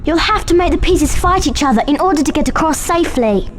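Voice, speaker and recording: An earnest, high-voiced young schoolgirl witch. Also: lively intonation, quick pace, a little hiss or hum. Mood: worried, instructive. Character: anxious, encouraging young schoolgirl witch, bright and bossy. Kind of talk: video game cutscene acting.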